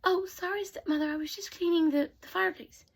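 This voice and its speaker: A woman voicing a sweet young heroine in a storybook tale, speaking high and excited. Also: fairly quick.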